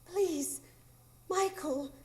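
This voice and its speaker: A woman's voice: extremely high pitch, very slow, a little mellow, heavily acted. A woman playing a resolute, grieving young mother and leader in a live radio drama. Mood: commanding. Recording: echoey live theatre room.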